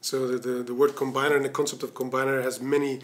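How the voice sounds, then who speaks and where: A man's voice: medium pitch, level tone, fast talker. A man in his forties hosting an interview, giving short encouraging replies, on a desk mic in a small office studio. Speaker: host. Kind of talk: casual interview talk.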